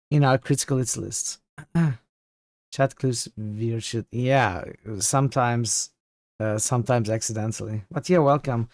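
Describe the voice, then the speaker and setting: A man who is losing his voice from illness chats with his viewers while streaming a game, rambling and halting as he thinks aloud from his home setup. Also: mid-range voice, darkish tone, no echo. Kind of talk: casual livestream chat.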